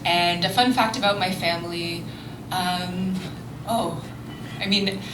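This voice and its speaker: A woman's voice: on the low side, fairly even intonation, takes it slowly. A woman from Toronto, a stage director, introducing herself on a panel in a candid, slightly flustered way. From Toronto, Canada. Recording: echoey hall with room noise.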